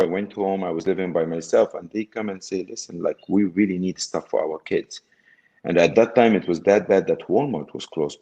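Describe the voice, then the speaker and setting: A man's voice: sits low, warm tone, rough recording. A middle-aged man from Egypt, telling his story as an interview guest in an emphatic way that repeats phrases and checks in with "okay?".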